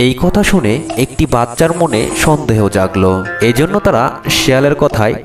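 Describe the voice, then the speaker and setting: A man's voice: medium pitch, expressive, moderate pace. A man giving a dramatic scripted narration of a fairytale as the storyteller. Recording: distant voice under loud music.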